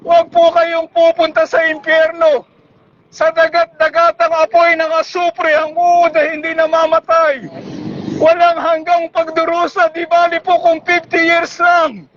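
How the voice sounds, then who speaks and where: A man with a Filipino accent delivers a street sermon in a very high, strained-up pitch that stays on one level. He pleads and exhorts at shouting volume. The recording is dull and lo-fi. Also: completely flat delivery, measured pace, a little hiss or hum. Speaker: street preacher. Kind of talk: evangelistic public sermon. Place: busy public bus terminal.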